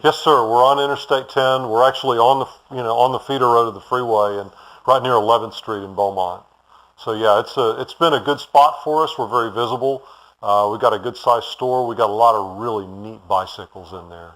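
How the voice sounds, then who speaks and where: A man's voice: medium pitch, fairly even intonation, fairly quick, fairly bright tone, poor audio. Friendly male bike shop owner guest. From Houston, Texas. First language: German. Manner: friendly, polite, rambling with fillers. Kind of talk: casual interview answers.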